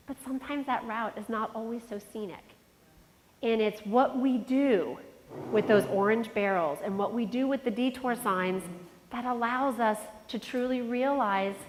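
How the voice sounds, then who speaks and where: A woman's voice: on the high side, fairly bright tone. A middle-aged woman with a nasal voice giving a motivational keynote speech, telling a personal story and talking straight to the audience. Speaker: conference keynote speaker. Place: large conference hall. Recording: long hall reverb. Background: long reverb of a large hall.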